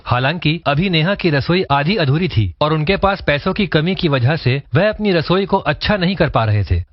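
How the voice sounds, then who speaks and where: A man's voice: on the high side, no room sound. A man narrating a scripted story. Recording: dull, lo-fi voice track.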